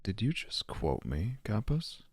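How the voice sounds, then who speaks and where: A man playing a gentle, lovestruck poet and younger brother in an audio drama, hesitant and poetic with a gravelly, husky voice. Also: lowish voice, huge pitch swings, a little slow, heavily acted. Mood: flustered.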